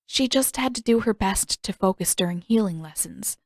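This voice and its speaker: A woman's voice: mid-range voice, lively intonation, normal speed, dry recording. Woman narrating a story, dry close sound. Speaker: audiobook narrator reading own fan fiction.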